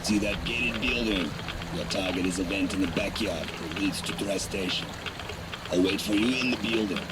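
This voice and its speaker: Man acting a deep-voiced, gruff wasteland smuggler. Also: moderate pace, heavy background noise, natural voice. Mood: brisk, sardonic. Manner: sardonic, blunt, bossy. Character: gruff, cynical, foul-mouthed male smuggler guide in a post-apocalyptic wasteland. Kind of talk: dramatic video game acting. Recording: loud music bed under voice.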